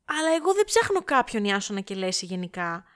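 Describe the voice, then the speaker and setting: A woman's voice: medium pitch, big pitch swings, a bit bright, clean tone. A woman playing a polite, flustered young woman making an enquiry in a comic audio drama, apologetic but insistent. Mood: polite, puzzled.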